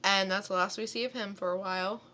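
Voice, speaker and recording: A woman co-hosting a podcast, giving a casual, conversational and halting recap of a story. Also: medium pitch, steady tone, sharp tone. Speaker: podcast co-host. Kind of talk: casual comic plot recap.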